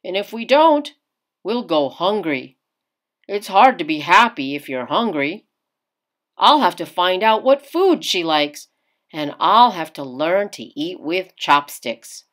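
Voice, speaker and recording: Woman reading as an earnest, anxious young sailor, animated. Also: on the low side, slow. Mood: anxious, resolved.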